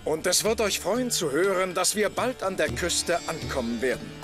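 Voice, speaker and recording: An actor playing a gentle, wise, cheerful elderly leader with a courteous manner in a German cartoon dub. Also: male voice, high-pitched, expressive, normal speed. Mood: gentle, pleased. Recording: loud music behind the voice.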